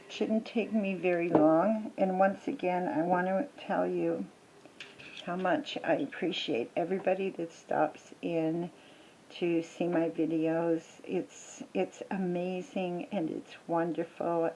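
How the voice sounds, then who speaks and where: Croaky older woman chatting slowly. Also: fairly low pitch, dark tone, highs cut off, slight background noise. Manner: rambling, chatty, many ums and restarts. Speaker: crafting vlogger. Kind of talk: casual chat while crafting. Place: small home.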